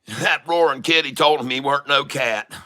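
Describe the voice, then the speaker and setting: A man's voice: mid-range voice, moderate pace, bright, forceful tone. A man with an exaggerated folksy Southern US country drawl, talking cocky and boastful as he hosts a casual trading livestream, swearing freely. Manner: chuckles often, swears casually. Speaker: livestream stock trading host. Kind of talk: casual livestream chat, hype trading commentary.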